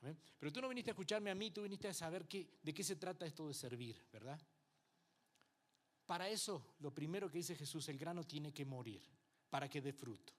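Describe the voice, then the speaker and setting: A Uruguayan man with a Mexican tinge in his speech, preaching to his church in a slightly higher voice. He talks in a conversational way, checking in with the congregation and acting out what people say. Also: animated, a little fast. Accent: Uruguayan Spanish with some Mexican influence. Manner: conversational preaching with frequent rhetorical checks and acted-out quotes. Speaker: pastor. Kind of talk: Bible class sermon to a congregation.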